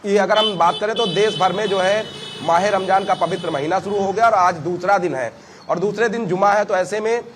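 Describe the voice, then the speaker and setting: A man with a North Indian accent giving a live news report in a halting, repetitive explanatory way. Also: high voice. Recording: faint background noise on live link.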